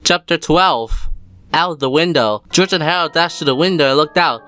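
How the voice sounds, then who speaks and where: A woman's voice: sits low, normal speed, a bit bright, clean tone, no room sound, soft background music. A woman reading a children's story as a scripted narrator.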